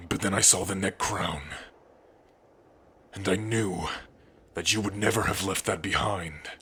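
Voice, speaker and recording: Man voicing a big strong orc, low-pitched, unhurried, husky, tender, protective spouse, intimate ASMR roleplay. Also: fairly even intonation, pushed and bright, exaggerated voice. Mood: relieved, loving. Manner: slow, tender, sighing, with pauses.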